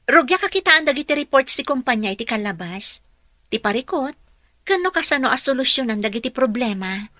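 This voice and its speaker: An eager young office hopeful in a radio drama, with a high, bright voice. She is polite and respectful, speaks with a Filipino accent and sounds close and dry. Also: sing-song, a little fast, no room sound, exaggerated voice. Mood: earnest, respectful. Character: eager, polite young woman graduate seeking office work. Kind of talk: Ilocano radio drama acting.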